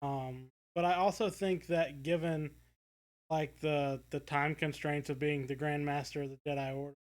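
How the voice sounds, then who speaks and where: A man's voice: on the high side, fairly even intonation, normal speed. A man co-hosting a casual discussion podcast, speaking hesitantly as he thinks his points through aloud. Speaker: podcast co-host.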